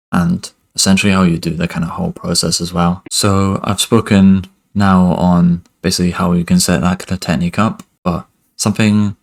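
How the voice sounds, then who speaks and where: A young man giving a relaxed music production tutorial at his desk, talking loosely with lots of fillers. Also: low voice, gravelly. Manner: relaxed, many fillers like "kind of" and "basically". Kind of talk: casual screen-recorded tutorial.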